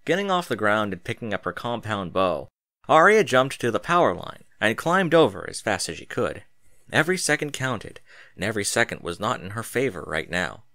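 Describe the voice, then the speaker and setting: Animated male story narrator, very dry sound. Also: on the low side, dry recording. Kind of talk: scripted dramatic story narration.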